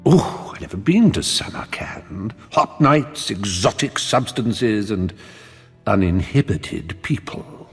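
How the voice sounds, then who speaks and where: An actor playing a vain, selfish, decadent gentleman rogue in a fantasy game, pompous, theatrical and sardonic. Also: lowish voice, very animated, slow, husky, exaggerated voice. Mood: gleefully louche. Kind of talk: comic fantasy game acting. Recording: long reverb, music behind.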